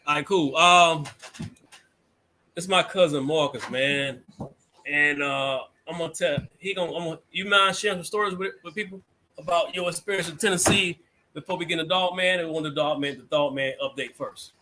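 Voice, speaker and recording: Bright-sounding dude hosting a chat, kinda far from the mic, keeps nudging his guest. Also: on the high side, steady tone, moderate pace. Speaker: channel host. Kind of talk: casual chat interview.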